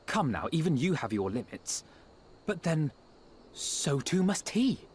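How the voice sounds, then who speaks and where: An actor playing a young scholar-strategist who speaks formally and deliberately. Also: male voice, fairly high pitch, very animated, darkish tone, husky, heavily acted. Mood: analytical. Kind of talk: game cutscene voice acting.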